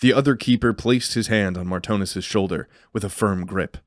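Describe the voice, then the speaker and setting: Male narrator for fantasy audio drama: lowish voice, measured storytelling, close dry sound. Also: dry recording.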